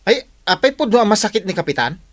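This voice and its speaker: A man's voice: sits high, sing-song, quick pace, a bit bright, full character voice. A man playing a fussy, reluctant village errand helper in a comedy radio drama.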